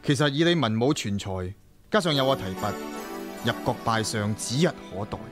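A man's voice: medium pitch, expressive, moderate pace, music in the background, lightly acted. A man playing a proud, wary Qing emperor in a Cantonese radio drama, imperious and defensive. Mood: stern, sighing.

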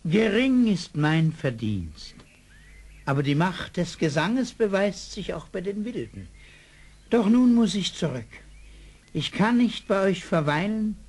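A man playing a gentle, pious singer with halting, flowery diction in an audio drama. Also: high voice, breathy edge, full character voice. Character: gentle, pious, bookish male psalm singer. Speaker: actor in a radio play. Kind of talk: 1970s German audio drama acting.

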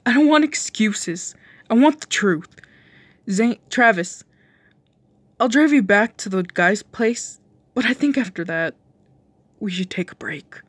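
A woman's voice: mid-range voice, expressive, measured pace, long pauses, no echo, pitched above the performer's own voice. A woman voicing a heartbroken young man who pleads with and accuses his cheating boyfriend, in an amateur story reading. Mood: hurt, firm.

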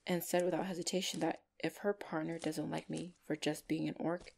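Woman acting a low-voiced gentle orc girlfriend. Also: flat delivery, gravelly, a little hiss or hum. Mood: wistful, tender. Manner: soft, hesitant, tender, with small pauses. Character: gentle young female orc, shy devoted lover. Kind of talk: ASMR girlfriend roleplay.

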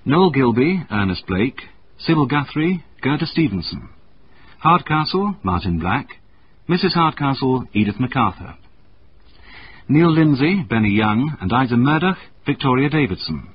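A man's voice: medium pitch, big pitch swings, normal speed. A man reading out scripted credits as a radio drama announcer. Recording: muffled radio broadcast, faint noise.

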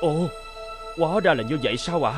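A man's voice: a little high, expressive, brisk, lightly acted. A man voicing a humble, earnest young pilgrim in a children's cartoon, speaking politely and deferentially. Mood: respectful. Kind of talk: dubbed children's cartoon acting. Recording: prominent music under the voice.

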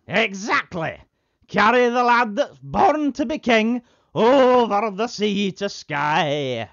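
A man's voice: high voice, big pitch swings, slow pace, a bit bright, heavily acted. A man playing a keen, solemn caricature policeman in a parody of old radio drama. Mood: earnest, solemn. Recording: muffled vintage radio sound.

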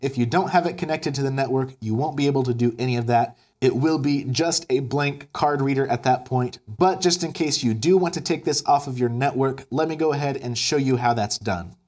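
A man's voice: medium pitch, fairly quick, hardly any pauses, darkish tone. A man in his thirties with an American accent, explaining step by step as an upbeat instructional video presenter. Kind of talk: instructional tutorial narration. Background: background music.

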